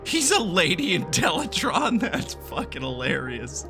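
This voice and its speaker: Male let's-player: lively swooping intonation, casual sweary jokes and reactions, game music behind. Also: sits high, measured pace. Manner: irreverent, joking, sweary. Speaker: gaming YouTuber. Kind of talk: casual reactive playthrough commentary.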